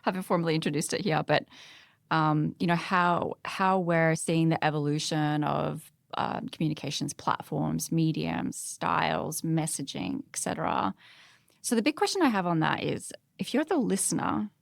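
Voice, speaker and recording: A woman with a low voice and plenty of vocal fry, recorded close and dry. She hosts a conversational podcast, thinking aloud and putting questions to the group. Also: expressive, normal speed, dry recording. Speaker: podcast host.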